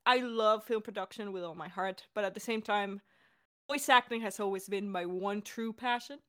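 A woman's voice: medium pitch, a bit bright. A young adult woman chatting casually as a podcast director and co-host in a creator Q&A.

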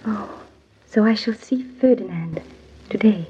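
Enraptured young fiancée for old radio melodrama female actor: highish, dark velvety tone, wistful and fervent, muffled archival sound. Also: unhurried, lightly acted. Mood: eager, wistful. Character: dreamy, enraptured young bride-to-be of a nobleman. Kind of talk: old-time radio drama acting. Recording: muffled old radio recording, faint noise.

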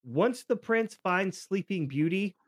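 A man, middle-aged, telling stories as a podcast host in a casual, halting way that repeats words. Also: high-pitched, lively intonation, breathy edge, dry recording, studio quality. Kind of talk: casual conversational storytelling.

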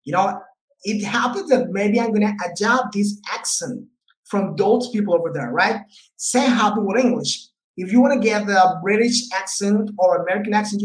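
A man's voice: high voice, a little hiss or hum. A man from Colombia with a Colombian Spanish accent, a guest English teacher talking casually with fillers and restarts. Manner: loose, repetitive, many fillers and restarts. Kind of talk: casual interview talk. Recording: roomy echo.

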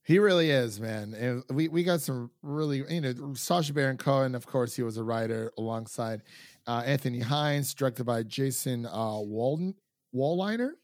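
A man's voice: medium pitch, moderate pace, no room sound, studio quality. A man hosting a film review podcast, chatting in an informal, enthusiastic, rambling way. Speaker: podcast host. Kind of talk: casual film review chat.

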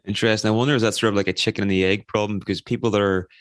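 Fast-talking eager male interviewer. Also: fairly low pitch, steady tone, no echo, clean studio sound. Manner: enthusiastic, asks rambling questions. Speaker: interview host. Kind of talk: casual interview.

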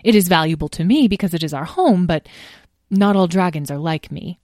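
A woman plays a patient mother dragon from a storybook, calmly explaining things to her children. Her voice stays close to the reader's own, with a dry, close sound. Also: lowish voice, a little fast, dry recording, natural voice. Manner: calm, explaining, gentle scolding.

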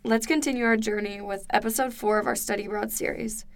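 Gravelly-voiced woman podcast host. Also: mid-range voice, steady tone, fast. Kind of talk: scripted podcast introduction.

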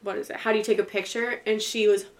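A woman's voice: mid-range voice, level tone, fast talker. A woman in her twenties chatting casually as a podcast co-host, rambling and slangy, with frequent swearing. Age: 20s. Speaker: true crime podcast co-host. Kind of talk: casual chat.

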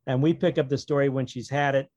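A man talks casually as an author being interviewed. His manner is wry and rambling, like someone telling stories off the cuff. The voice sits at a middling pitch, recorded dry with very little room sound. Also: fairly even intonation, quick pace, darkish tone, no echo, lo-fi sound. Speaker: author, podcast guest. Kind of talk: casual interview chat about own writing.

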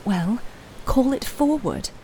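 A woman voicing a solemn female mystic in a fantasy story, with a soft-spoken, measured manner. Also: a little high, big pitch swings, breathy edge, pitched above the performer's own voice, full character voice, rough recording.

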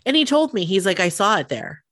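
A woman in her thirties co-hosting a podcast, telling stories in relaxed casual chat with lots of fillers, a bit sniffly from the cold. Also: medium pitch, fairly quick, no room sound, studio quality. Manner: relaxed storytelling with many fillers. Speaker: podcast co-host.

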